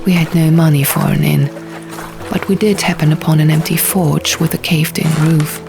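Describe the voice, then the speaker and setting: A woman reading a first-person fantasy audiobook in a measured, dramatic storytelling manner. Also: low-pitched, steady tone, creaky. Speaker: audiobook narrator. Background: music and sound effects.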